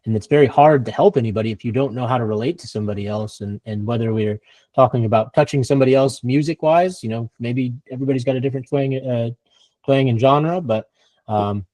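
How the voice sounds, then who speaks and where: A man's voice: medium pitch, quick pace, warm tone, no echo. A man co-hosting a podcast, talking thoughtfully with stumbling repetitions. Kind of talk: casual conversation.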